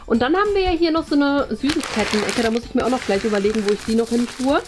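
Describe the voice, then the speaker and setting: A young woman with a high voice talks casually as a vlog host. She explains things as she goes in a kitchen, with music playing behind her. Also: quick pace. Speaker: host of a crafts and party vlog. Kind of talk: casual vlog narration and chat. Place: indoor studio kitchen. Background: background music.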